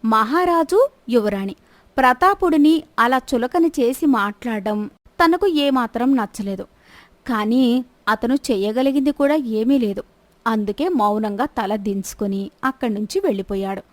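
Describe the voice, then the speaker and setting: Higher-pitched woman narrating a story. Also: expressive, unhurried, no echo.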